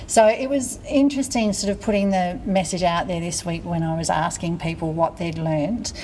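A woman's voice: fairly low pitch, steady tone, moderate pace, dark tone, a little hiss or hum, poor audio. A woman hosting a podcast in a casual, chatty and informal way. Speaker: podcast host. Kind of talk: casual chat.

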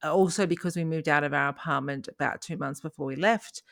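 A woman's voice: low voice, fairly quick, creaky, no room sound, clean studio sound. A middle-aged woman co-hosting a podcast, chatting casually with many restarts and small laughs. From Australia. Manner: rambling, frequent restarts and laughs. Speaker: podcast co-host. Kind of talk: casual chat.

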